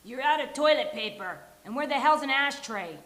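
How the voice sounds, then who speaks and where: A woman reading a rough-edged, abrasive female boss at a live sitcom table read in a roomy, hissy space. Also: high-pitched, animated, normal speed, fairly bright tone. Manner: brusque, abrasive. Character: Madge, rough-edged, foul-mouthed bulldog of a woman boss. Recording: roomy live venue, hiss.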